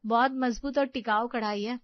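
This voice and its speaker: A meek young daughter-in-law, polite and eager to please, speaking in a high, light voice, as a woman puts her on. Dull, lo-fi and dry recording. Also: steady tone, normal speed, dry recording, close to an everyday voice.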